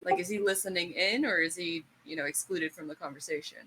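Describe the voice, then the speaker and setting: A woman's voice: on the low side, brisk, a bit bright, slight background noise, low-quality recording. A woman playing a tabletop roleplaying game, chatting informally and thinking aloud with questions. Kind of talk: casual chat.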